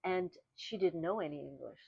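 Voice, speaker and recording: Older woman, reflective and halting. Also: fairly low pitch, gravelly, slightly rough, dry recording. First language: Armenian. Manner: reflective, halting, often restarting sentences. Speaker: interviewee. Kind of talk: oral history testimony. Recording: dry, dull lo-fi recording.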